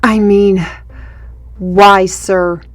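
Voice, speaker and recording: A woman's voice: medium pitch, flat delivery, extremely slow, no echo. A woman playing a defiant, sardonic young artist with magical powers in a fantasy audio drama, speaking formally and pointedly.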